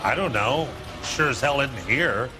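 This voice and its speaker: An actor playing a slick, evasive 1940s American used-car dealer in a crime game, with smooth, defensive salesman patter. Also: mid-range voice, expressive, a little slow, over music, close to an everyday voice. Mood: defensive, grudging. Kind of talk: dramatic game acting, period crime story.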